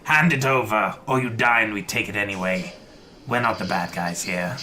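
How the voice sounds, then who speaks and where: A man playing a threatening, self-righteous rebel in an audio drama, confrontational and forceful. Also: lowish voice, moderate pace. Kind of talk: scripted audio drama acting. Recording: faint ambient noise.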